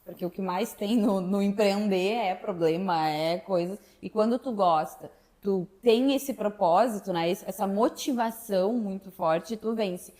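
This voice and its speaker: A woman's voice: medium pitch, a little slow, few pauses, a little mellow. A woman hosting an interview, asking questions in a conversational way. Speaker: host. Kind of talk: casual interview chat.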